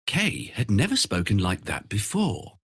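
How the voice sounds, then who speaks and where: A man narrating a fairy tale from a script in an expressive storytelling manner. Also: lowish voice, unhurried, husky.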